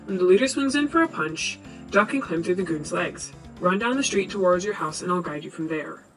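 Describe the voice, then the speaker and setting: A woman's voice: on the low side, animated, a little fast, fairly bright tone, rough recording. A woman playing a bossy male supercomputer in a boy's head, giving dry instructions in an amateur comic dub. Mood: commanding. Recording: amateur old-device recording, music behind.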